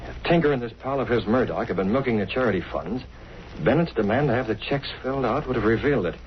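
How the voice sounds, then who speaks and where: An actor playing a firm, commanding newspaper boss and secret vigilante in a vintage radio drama, with a decisive, authoritative manner. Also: male voice, medium pitch, quick pace, close to an everyday voice. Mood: grave, resolute. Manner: decisive, authoritative, clipped orders. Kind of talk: 1930s-40s radio drama acting. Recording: dull, noisy old radio recording.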